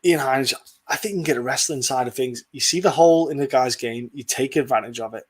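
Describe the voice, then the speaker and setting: A man's voice: medium pitch, fast talker, breathy edge. Blunt man chatting about fights. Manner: blunt, rambling, swears freely. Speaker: podcast host. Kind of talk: casual sports prediction chat.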